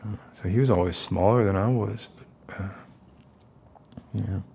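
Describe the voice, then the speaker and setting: Actor as a low, gravelly, unstable survivalist loner. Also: male voice, warm tone, highs cut off, full character voice. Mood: tender, earnest. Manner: halting, rambling, casual. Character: unstable, falsely gentle self-appointed protector, survivalist loner. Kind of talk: soft-spoken ASMR roleplay acting.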